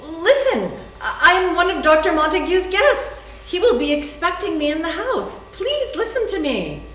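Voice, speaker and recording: A woman's voice: very high voice, big pitch swings, normal speed, fairly bright tone, heavily acted. A woman playing an anxious, earnest guest who is indignant yet timid, in a staged readers theater drama with a hesitant, pleading manner. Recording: roomy echoey stage recording, humming noise.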